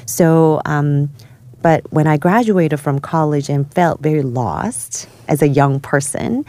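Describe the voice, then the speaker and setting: A woman from South Korea, a guest in a studio interview, telling her life story with many pauses and fillers. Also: low voice, darkish tone, no echo. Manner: hesitant, many fillers and restarts. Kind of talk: podcast interview, personal story.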